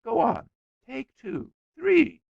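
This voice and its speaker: A dogged little storybook schoolboy, voiced by a male narrator, full of self-importance. He talks in earnest, colloquial bursts with a swooping pitch, close and dry. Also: sing-song, normal speed, gravelly, no echo, muffled recording. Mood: eager. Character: stubborn, self-important young schoolboy with excuses.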